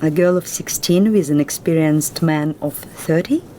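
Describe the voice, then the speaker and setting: Guarded Russian-accented woman, as an actress plays her, low voice, mellow tone, formal and reserved. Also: normal speed, a little hiss or hum, close to an everyday voice. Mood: earnest. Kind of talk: film drama dialogue.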